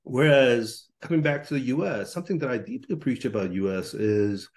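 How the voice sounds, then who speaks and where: A man's voice: mid-range voice, lively intonation, brisk. A middle-aged man from Korea, a professor speaking as a podcast guest, explaining things thoughtfully with many ums, hedges and restarts. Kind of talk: conversational interview.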